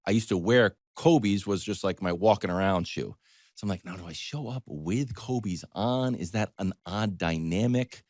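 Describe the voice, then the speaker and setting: An American man giving conversational sports talk as a commentator, animated, digressive and self-deprecating as he tells stories. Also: lowish voice, normal speed, no echo, clean studio sound.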